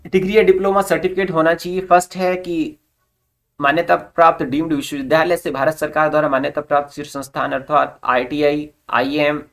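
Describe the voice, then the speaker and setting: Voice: medium pitch, little pitch movement, a little fast. A presenter with a mid-range voice delivers an informational explainer. The delivery is instructional, reading formal notice wording and then putting it in simpler everyday terms. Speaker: presenter of job recruitment updates.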